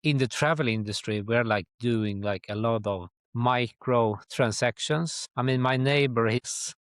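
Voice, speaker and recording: A middle-aged man from Sweden with a Swedish accent, answering interview questions conversationally in a halting way, often dropping in "like". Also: medium pitch, dry recording, clean studio sound. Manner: halting, with very frequent "like". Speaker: guest, tour company chief executive.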